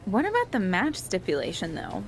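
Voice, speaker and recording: A woman's voice: mid-range voice, huge pitch swings, a little fast, soft background music. An actress playing a wry, chatty documentary interviewer in a wrestling video game cutscene, probing as she talks.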